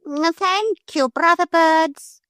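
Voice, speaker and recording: A woman's voice: very high voice, extremely slow, fairly bright tone, no room sound, clean studio sound, pitched above the performer's own voice, exaggerated voice. A woman voicing an earnest, formal talking parrot. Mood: earnest pleading. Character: earnest, stately talking parrot, polite but commanding.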